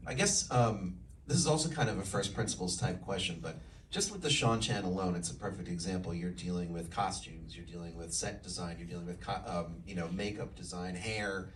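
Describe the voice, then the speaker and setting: A man's voice: deep voice, a little fast, slight background noise. A man asking long, rambling questions at a live screening Q&A, full of fillers. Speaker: interviewer. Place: screening event hall. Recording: echoey room, distant mic.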